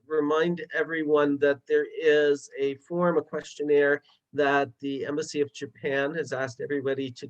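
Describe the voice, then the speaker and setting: A man's voice: on the high side, highs cut off. A man who is a linguist, moderating a webinar over a video call and speaking hesitantly with many fillers.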